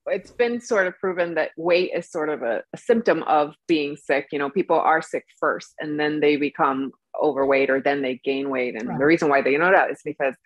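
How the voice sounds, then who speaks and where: A woman's voice: low-pitched, normal speed, few pauses, no room sound, poor audio. A young woman co-hosting a podcast, explaining things earnestly in a conversational interview. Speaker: podcast co-host. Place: small indoor room, on a video call.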